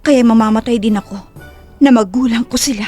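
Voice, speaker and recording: A woman's voice: a little high, fairly quick, mellow, soft background music, close to an everyday voice. A woman playing a humble, guarded young wife in an audio drama, reserved yet emotional. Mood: hurt, resistant. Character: humble, guarded young wife stunned by her secret parentage. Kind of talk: Tagalog audio drama acting.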